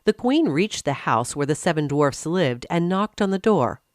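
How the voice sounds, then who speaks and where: A woman narrating a scripted fairy tale for children, expressive and carefully phrased. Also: sits low, normal speed, no room sound, clean studio sound. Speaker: storyteller.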